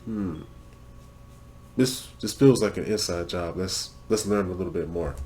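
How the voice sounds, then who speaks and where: A man's voice: low voice, measured pace, mellow, a little hiss or hum. A man hosting a reaction video in loose, rambling casual talk full of fillers and swearing, stuffed up from a cold. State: congested from a cold.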